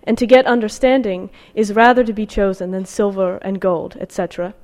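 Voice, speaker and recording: A woman delivers an academic lecture in a large room with long reverb around her voice. She has a mid-pitched voice and a scholarly, slightly halting manner as she presents her research. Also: steady tone, a little mellow. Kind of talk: academic talk with slides, reading out quotations.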